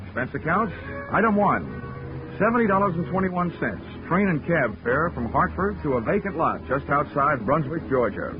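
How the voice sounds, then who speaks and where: Wisecracking detective-narrator, vintage lo-fi radio with score. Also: male voice, on the high side, big pitch swings, moderate pace. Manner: dry, sardonic, wisecracking. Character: wisecracking insurance investigator, adult man. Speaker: narrator and lead. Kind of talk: 1940s radio acting. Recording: lo-fi vintage radio recording with music.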